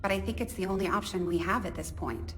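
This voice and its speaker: A woman's voice: medium pitch, fast talker, lightly acted. A woman playing a plainspoken, confident engineer in a video game cutscene, dry and matter-of-fact. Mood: resolute, matter-of-fact.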